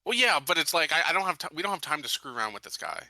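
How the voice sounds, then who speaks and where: A man's voice: fairly high pitch, very animated, rapid-fire, bright, forceful tone, dry recording. A man talking casually as a player in a tabletop roleplaying game.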